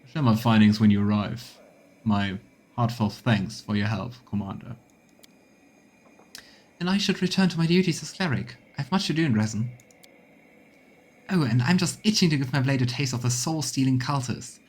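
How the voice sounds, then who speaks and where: A man's voice: mid-range voice, moderate pace, long pauses, darkish tone, breathy edge, close to an everyday voice. A man reading game dialogue aloud as an earnest, determined cleric who reports to a commander with emphatic phrasing. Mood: grateful, eager.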